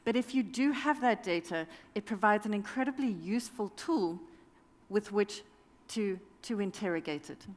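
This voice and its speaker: Woman speaking at a conference, measured and explaining, mid pitch, lots of hall echo. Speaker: conference panelist. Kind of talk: conference presentation.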